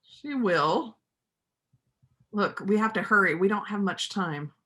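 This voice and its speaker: Woman acting an earnest, slow-spoken determined helper. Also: mid-range voice, expressive, bright, forceful tone. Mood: reassuring, resolute. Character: earnest, determined woman helping a fugitive, a painter of faith. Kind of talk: reader's theater stage acting.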